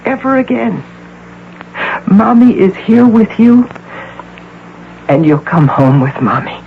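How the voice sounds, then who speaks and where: A woman's voice: fairly high pitch, sing-song, takes it slowly, full character voice. An actress playing a domineering, deluded executive woman who poses as a doting mother, in insistent 1980s radio drama style. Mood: tender, possessive. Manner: insistent, theatrical. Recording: tinny, noisy old radio broadcast.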